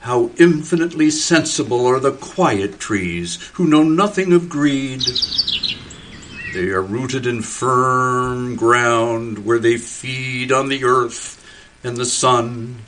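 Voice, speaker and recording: An actor playing a quiet postman who is turning into a tree and muses slowly on human greed, in a community radio play. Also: medium pitch, a little hiss or hum. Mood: musing. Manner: slow, musing, hushed. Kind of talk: community radio theater acting.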